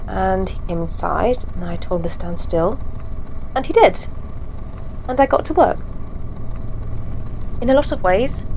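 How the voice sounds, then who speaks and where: A woman's voice: a little high, slight background noise, poor audio. A woman voicing a serenely macabre female sculptor in an audio drama, musing chattily with blithe menace. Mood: reflective. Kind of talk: audio drama monologue, conversational.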